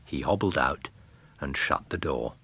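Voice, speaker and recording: Animated man reading a story. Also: very deep voice, unhurried, slightly rough. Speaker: narrator and reader. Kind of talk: dramatic scripted story narration.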